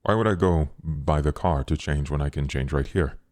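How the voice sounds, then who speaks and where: An extremely deep, formal voice from a man acting as a naive newborn being in a tabletop roleplay. He is measured, literal and calmly detached from human ways, recorded dry. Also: dry recording. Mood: innocent, matter-of-fact.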